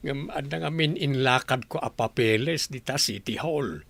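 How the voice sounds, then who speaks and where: A man's voice: medium pitch, normal speed, vocal fry, a bit bright, no room sound. An actor with a Filipino accent playing a friendly, folksy older provincial father in a radio drama, asking questions. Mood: friendly, inquiring. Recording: radio drama with music underneath.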